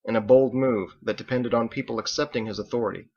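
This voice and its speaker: A man's voice: mid-range voice, steady tone, fast talker, warm tone, dull audio. A man reading scripted fiction aloud as its author and narrator.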